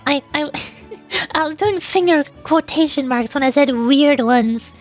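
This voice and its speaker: Female game streamer, high voice, playful rambling chat, faint music behind. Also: a little slow. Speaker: streamer and amateur voice actor. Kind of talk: casual game livestream commentary.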